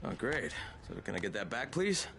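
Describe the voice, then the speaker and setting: An actor playing a wary undercover agent in a video game, a guarded and wry newcomer. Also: male voice, mid-range voice, sing-song, moderate pace, fairly bright tone. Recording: echoey room with background noise.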